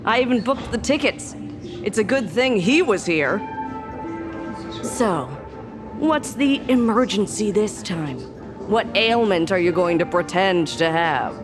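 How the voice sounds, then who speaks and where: A woman's voice: medium pitch, animated, a little slow, lightly acted. A woman voicing a tired, sarcastic hospital doctor in a game, dry and world-weary. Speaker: game character. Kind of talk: game dialogue. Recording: game audio with music underneath.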